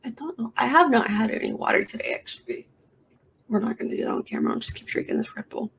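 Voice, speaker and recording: A woman's voice: fairly high pitch, wildly swooping pitch, quick pace, a little hiss or hum, poor audio. A woman hosting a casual solo podcast from her bedroom, rambling with lots of fillers and restarts into a sensitive microphone. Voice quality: raspy. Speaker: podcast host. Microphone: sensitive studio microphone.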